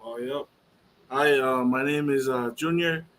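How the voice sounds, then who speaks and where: A man from American Samoa introducing himself casually and haltingly as a newcomer, with some background noise. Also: mid-range voice, steady tone, a bit bright. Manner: hesitant, halting. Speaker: new business member, guest.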